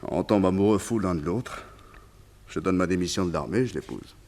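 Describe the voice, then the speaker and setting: A low-pitched man, a wistful circus tamer who once served as an army officer. He tells his story conversationally, with small hesitations, in the manner of French radio drama. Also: moderate pace, a little mellow. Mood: fond reminiscing. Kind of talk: French radio serial acting.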